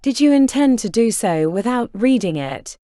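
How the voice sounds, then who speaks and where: A man reading an audiobook, voicing a haughty, stern middle-aged businesswoman in a high, clipped, commanding voice. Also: expressive, normal speed, dark tone, dry recording, studio quality.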